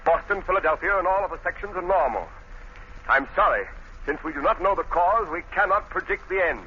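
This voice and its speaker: An actor in a 1940s-style radio crime drama, playing announcer and harried city men. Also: male voice, high-pitched, expressive, a little fast. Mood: weary, curt. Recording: dull, noisy old radio recording.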